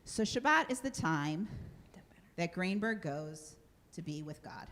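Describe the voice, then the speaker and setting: Lady rabbi telling a story to the congregation, bright voice, animated. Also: lowish voice, measured pace. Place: synagogue sanctuary.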